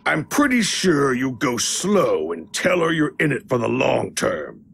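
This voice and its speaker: An actor playing a sly chained prisoner in an audio drama, a gravelly tempter who bargains in a taunting, persuasive way. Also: male voice, mid-range voice, big pitch swings, a little slow, fairly bright tone, a little hiss or hum, heavily acted. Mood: taunting, tempting.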